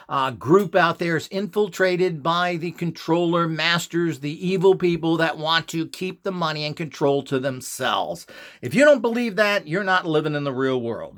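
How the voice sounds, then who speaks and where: Emphatic man, higher voice, very animated delivery. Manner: emphatic, scornful, rhetorical questions. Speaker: host of an instructional channel. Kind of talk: opinionated monologue to camera or listeners.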